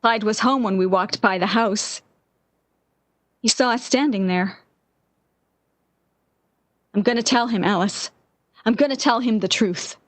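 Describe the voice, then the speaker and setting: A woman playing a distraught, obsessive lovesick woman in a 1940s-style radio drama, with an intense, halting, theatrical delivery. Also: medium pitch, dry recording, close to an everyday voice. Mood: grim, haunted.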